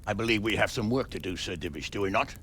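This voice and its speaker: An actor playing a harsh, commanding medieval villain with a raspy voice and a curt, menacing manner in a video game. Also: male voice, on the low side, fast talker, fairly bright tone. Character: harsh, commanding medieval villain lord hunting his enemy. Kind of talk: dramatic video game acting.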